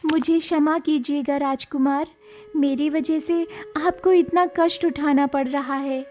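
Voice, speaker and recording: Casting: woman to play meek, courteous young village girl for animated folk tale; very high, earnest voice. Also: measured pace, faint music behind. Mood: apologetic. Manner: polite, deferential, earnest. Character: gentle, apologetic young village girl shunned as unlucky. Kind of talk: Hindi animated moral-story acting.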